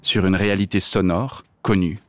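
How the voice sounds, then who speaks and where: A man's voice: low voice, fairly even intonation, moderate pace, close to an everyday voice. A man playing a deaf man who dislikes reading novels, speaking a blunt scripted radio monologue over music. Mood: earnest. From Switzerland. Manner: blunt, mildly provocative, direct address. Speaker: radio columnist.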